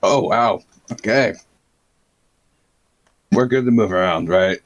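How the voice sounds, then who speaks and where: A man's voice: fairly low pitch, takes it slowly, lo-fi sound. A man chatting casually as a player in a tabletop game.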